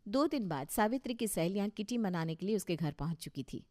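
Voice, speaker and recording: A woman's voice: mid-range voice, animated, a little fast, darkish tone. A woman reading scripted narration for a story as its narrator.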